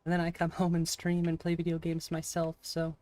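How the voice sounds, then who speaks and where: A streamer with a raspy voice chatting casually with viewers during a live game stream. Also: medium pitch, monotone, brisk, darkish tone, no echo. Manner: chatty, reacts aloud and answers chat. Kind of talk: casual live-stream chat while gaming. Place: home room.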